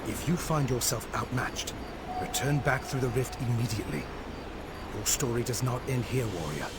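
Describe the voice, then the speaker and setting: An actor playing a grave, resolute mentor in a video game, speaking in a formal, commanding, portentous manner. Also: male voice, medium pitch, moderate pace, very noisy, close to an everyday voice. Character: grave, resolute male mentor guarding the realms. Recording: loud music behind.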